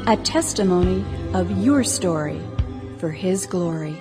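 A woman's voice: fairly low pitch, animated, measured pace, over loud music. A woman hosting an interview show, giving a scripted introduction in a dramatic, emphatic way. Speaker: host.

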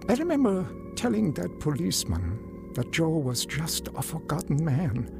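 A man's voice: mid-range voice, vocal fry. Earnest immigrant man, music behind. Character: earnest male Czech immigrant, narrating past and reliving youth. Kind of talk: radio drama acting and narration.

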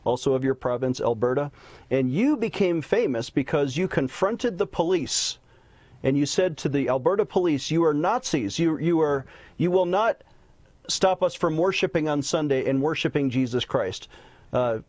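A man's voice: on the high side, animated, moderate pace, a little mellow, no echo. A man hosting a religious news talk show, speaking in a formal, preacherly way.

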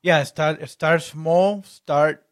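Halting man, higher voice. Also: fairly even intonation. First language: Spanish. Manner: halting, repeats words. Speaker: podcast host. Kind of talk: casual interview chat.

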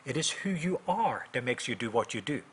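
A man's voice: medium pitch, big pitch swings, fairly quick, a bit bright, husky. A man in his fifties from Norway with a Norwegian accent, speaking conversationally as an interview guest about his own experience. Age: 50s. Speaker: guest, minister and author.

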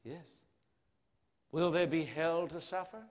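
A man preaching an evangelistic sermon in a solemn, emphatic manner, with dramatic pauses and rhetorical questions. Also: a little high. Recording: long hall reverb.

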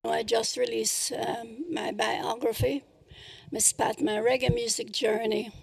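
Older woman with a very creaky voice. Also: fairly high pitch, steady tone, measured pace, no echo, rough recording. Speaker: interview guest, author. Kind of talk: reflective interview talk.

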